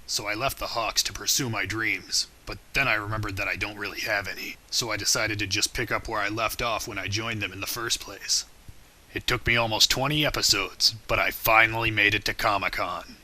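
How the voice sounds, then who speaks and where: A man voicing a blunt, sarcastic mercenary swordsman with a deadpan manner in a comedic cartoon parody dub. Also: fairly low pitch, brisk, poor audio. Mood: deadpan recounting.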